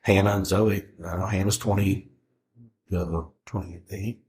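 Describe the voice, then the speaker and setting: A man's voice: sits low, fairly even intonation, measured pace, a little mellow. A man in his forties, a guest in a casual interview, talking in a rambling way with many fillers and restarts. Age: 40s. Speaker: guest, food truck owner.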